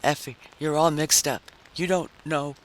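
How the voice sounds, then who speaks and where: A man's voice: fairly high pitch, fairly even intonation, a little slow, close to an everyday voice, rough recording. A man voicing a cowed, suspicious husband with a broken, faltering voice in a dramatic story reading. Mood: agitated. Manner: faltering, heavy, mumbling. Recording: faint background noise.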